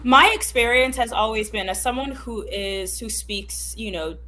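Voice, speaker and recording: A woman's voice: fairly high pitch, normal speed, sharp tone. A woman born in Haiti whose first language is Creole, talking through her views in an emphatic, thoughtful way in a casual discussion. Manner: thoughtful, emphatic, self-correcting.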